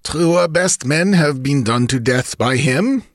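A man's voice: on the high side, animated, unhurried, no room sound, studio quality, pitched above the performer's own voice. A man voicing a ruthless, cunning male bandit chief who snarls commands. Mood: brooding.

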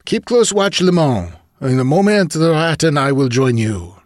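A man's voice: a little high, lively intonation, normal speed, dry recording, studio quality, pitched above the performer's own voice, natural voice. A man voicing a ruthless, cunning male bandit chief who snarls commands. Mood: scheming.

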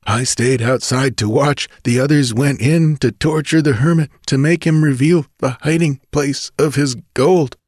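A man voicing a wounded, dying male bandit who mutters slowly and strains to speak. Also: medium pitch, a little mellow, husky, no echo, clean studio sound, voice pushed up.

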